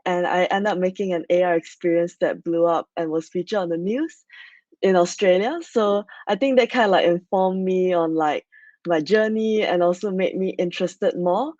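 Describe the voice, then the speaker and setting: A young woman from Malaysia presents at an online conference in a loose, chatty way, leaning on "so yeah" and "like". Her voice sits a little low. The audio is dry and a bit muffled, as from a computer mic on a video call. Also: normal speed, a little mellow, no room sound. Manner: chatty, many fillers like "like" and "so yeah". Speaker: conference speaker.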